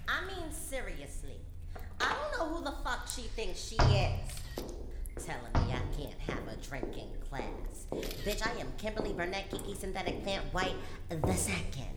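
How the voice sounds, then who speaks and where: A woman's voice: medium pitch, sing-song, moderate pace, close to an everyday voice. A woman playing a bratty rich schoolgirl. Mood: haughty. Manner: haughty, foul-mouthed, bratty. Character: snobby entitled rich high school girl. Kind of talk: comedy sketch acting. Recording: echoey room with loud background noise.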